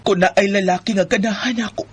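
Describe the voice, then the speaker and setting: A woman's voice: mid-range voice, fairly even intonation, fast talker, a little hiss or hum, lightly acted. A woman playing a wounded, bitter young woman in a radio drama, speaking in a plaintive, emotional way over music. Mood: bitter, tearful. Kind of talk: melodramatic radio drama acting. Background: faint music.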